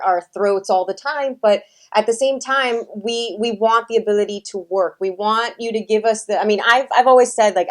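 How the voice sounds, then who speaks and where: Female talk show host, mid-range pitch, emphatic delivery, interview format. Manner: emphatic, quick to voice indignation. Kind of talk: political interview chat.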